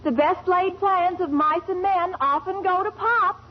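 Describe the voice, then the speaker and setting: An actress playing an earnest, dramatic teenage daughter in a 1940s radio comedy, with over-proper, emphatic phrasing. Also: female voice, very high voice, unhurried. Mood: polite, sociable. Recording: muffled, noisy 1940s radio recording.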